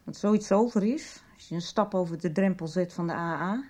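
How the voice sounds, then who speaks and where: An actress in a radio soap playing a troubled, wry mother and recovering alcoholic, middle-aged and halting. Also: lowish voice, darkish tone, close to an everyday voice.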